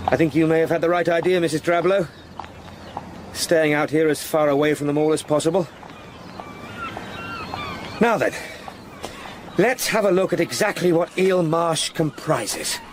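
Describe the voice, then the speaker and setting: A man acting an eager, polite, well-spoken young gentleman in a period radio drama, formal, talkative and exclamatory. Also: fairly high pitch, normal speed, long pauses, noisy background, natural voice. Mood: musing.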